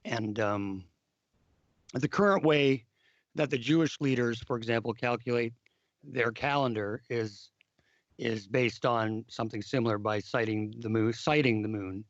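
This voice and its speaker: Hoarse male host, halting restarts, dry dull lo-fi audio. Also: mid-range voice, no room sound. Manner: repeats words and restarts often. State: hoarse, lost his voice. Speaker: podcast host. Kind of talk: casual discussion of biblical calendar history.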